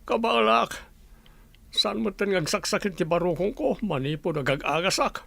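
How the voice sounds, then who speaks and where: A worried head of the household in a radio drama. His voice is rather high but heavy with gravel, and he speaks with stubborn insistence. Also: lively intonation, normal speed, bright, forceful tone, no room sound, heavily acted. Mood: determined. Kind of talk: Ilocano radio drama acting.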